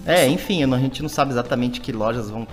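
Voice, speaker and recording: An easygoing man, co-hosting a relaxed talk about food. His voice sits at a middle pitch, and he keeps checking in with his co-host as he goes. Soft music plays faintly beneath him. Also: racing. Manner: easygoing, leans on tag questions. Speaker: podcast co-host, food reviewer. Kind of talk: casual conversational chat.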